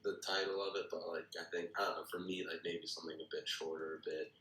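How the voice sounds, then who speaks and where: A man's voice: sits low, level tone, fast, sharp tone. A man giving casual feedback in a hesitant way, repeating himself as he searches for words. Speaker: participant giving script feedback. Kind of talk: casual chat. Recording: echoey room with faint noise.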